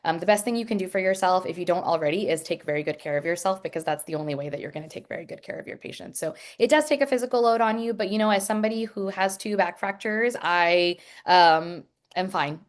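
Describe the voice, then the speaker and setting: A woman's voice: lowish voice, quick pace, hardly any pauses. A woman who works as an emergency room nurse, answering questions on a video call in a frank, earnest way, with many ums and restarts. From Pakistani heritage.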